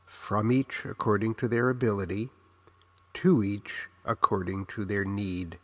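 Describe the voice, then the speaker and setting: Memoir narrator: American male, 60s, slightly deep, takes his time, earnest scripted reflection with a literary, measured delivery. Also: fairly even intonation. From Los Angeles, United States.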